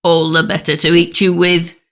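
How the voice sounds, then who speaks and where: A woman's voice: lowish voice, level tone, moderate pace, a bit bright. A woman voicing a sly, hungry male wolf in a storybook, coaxing and cunning. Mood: menacing.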